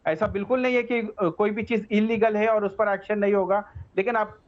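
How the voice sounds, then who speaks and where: A man's voice: high-pitched, fairly even intonation, a little fast. A man speaking as a political guest in a live TV debate, explaining defensively and repeating his points. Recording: dull, band-limited remote audio feed.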